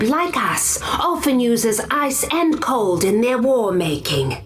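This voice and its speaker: A plainspoken woman of a fantasy game tribe. She speaks slowly and matter-of-factly at a medium pitch, recounting old beliefs. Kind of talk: scripted in-character lore narration.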